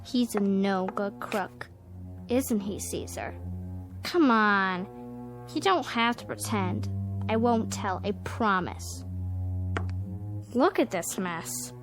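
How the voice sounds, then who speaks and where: A woman playing a knowing, bratty little girl in a radio drama, taunting and sharp. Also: mid-range voice, animated, slow pace, pauses often, music in the background.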